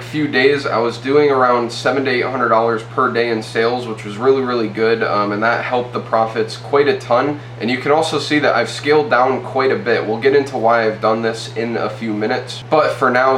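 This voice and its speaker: A man's voice: medium pitch, a bit bright. A young man in his twenties with a stuffy nose gives a casual, loose update to the camera from a small room. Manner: fast, loose, filler-heavy talk. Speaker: e-commerce YouTuber. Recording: roomy with faint hum.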